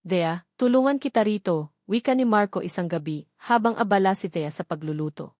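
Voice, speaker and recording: A woman's voice: medium pitch, brisk, darkish tone, dry recording. Woman narrating in a dry studio sound. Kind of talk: scripted romance story narration.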